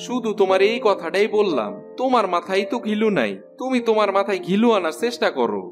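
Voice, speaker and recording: An eager, good-natured village husband from a cartoon, with a high voice and a rural Bangladeshi accent. His talk is folksy and earnest. Music plays clearly behind him. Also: very animated, fast talker. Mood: determined. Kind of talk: Bengali cartoon fairy-tale acting.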